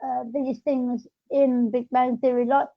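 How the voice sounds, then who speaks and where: A woman's voice: sits high, flat delivery, measured pace, gravelly. A woman talking in an informal, chatty way as she hosts a casual podcast. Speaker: podcast host. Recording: dull lo-fi audio.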